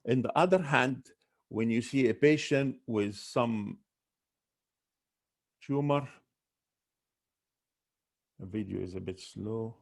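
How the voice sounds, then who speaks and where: Brief: male ENT doctor lecturing a webinar, mid-pitched, steady, methodical, with small restarts. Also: slow pace, vocal fry, long pauses. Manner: careful, listing symptoms one by one with frequent restarts. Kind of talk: medical lecture.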